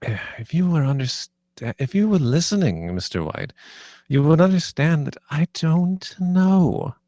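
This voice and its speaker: A man playing a sadistic, taunting villain duchess in a screenplay table read, with a creaky, deliberate delivery. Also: fairly high pitch, lively intonation, mellow, no echo, clean studio sound. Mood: smug, taunting.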